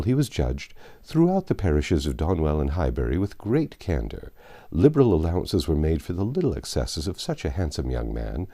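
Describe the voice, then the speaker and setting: Low, mellow male narrator with lively intonation. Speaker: audiobook narrator. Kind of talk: reading a classic novel aloud.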